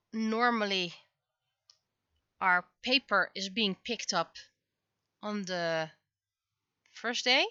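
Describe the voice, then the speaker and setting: Casting: woman, bright tone, casual streamer chatting in halting bursts while she works, very dry close sound. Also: mid-range voice, clean tone, no echo, highs cut off. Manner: halting, thinking aloud. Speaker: livestreaming webcomic artist. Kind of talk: casual livestream chat while drawing.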